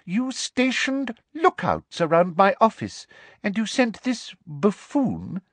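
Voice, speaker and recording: A man's voice: high voice, huge pitch swings, slow pace, dry recording, voice pushed up, exaggerated voice. A man voicing a cruel, imperious female school official in an audiobook story, with a formal, sneering and overbearing manner. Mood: smug, sneering.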